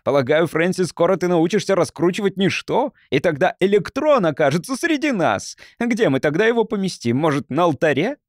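A man's voice: sits high, big pitch swings, a little fast, few pauses, no room sound, clean studio sound, voice pushed up. A man voicing a sarcastic, mocking young monk with needling, falsely polite speech. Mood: gleeful sarcasm. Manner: needling, feigned politeness, exclamatory.

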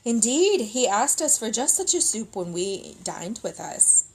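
A man's voice: high voice, big pitch swings, a little slow, slightly rough, full character voice, low-quality recording. A woman voicing crafty, cheerful soldiers in a storybook, coaxing and exclaiming with mock wistfulness. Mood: wistful coaxing.

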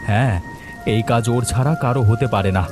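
A man's voice: medium pitch, fairly quick, close to an everyday voice. Man voicing worried village farmer over music. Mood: suspicious, urgent. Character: worried, upright male village shrimp farmer. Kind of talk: Bengali cartoon voice acting.

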